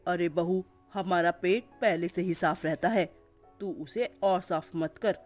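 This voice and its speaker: A woman's voice: fairly low pitch, unhurried, a little mellow, faint music behind, voice pushed down, lightly acted. Woman doing a grumpy, scolding mother-in-law. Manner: scolding, exasperated.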